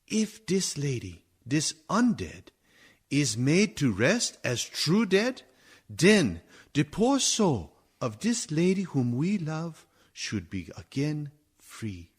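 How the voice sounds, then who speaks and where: A male actor as a solemn older professor who hunts vampires in a radio drama. His voice sits fairly high for a man and swings widely in pitch. He speaks slowly and oratorically, in stilted, measured phrases, with commanding gravity. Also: exaggerated voice. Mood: solemn, urgent. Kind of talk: theatrical radio drama acting.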